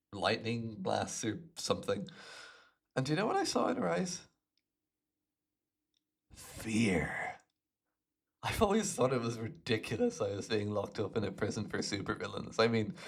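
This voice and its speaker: A man's voice: medium pitch, creaky, breathy edge, heavily acted. A man acting out a smug, chatty imprisoned supervillain with hypnotic telepathy, musing playfully to the listener in an ASMR roleplay. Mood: wry, resentful. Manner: playful, smug, unhurried musing with little chuckles.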